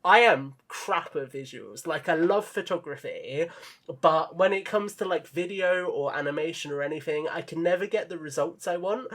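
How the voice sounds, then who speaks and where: A man's voice: fairly high pitch, moderate pace, fairly bright tone. A man talking casually and in detail as a podcast guest, modest about himself and keen on his creative work. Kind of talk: casual enthusiastic podcast chat.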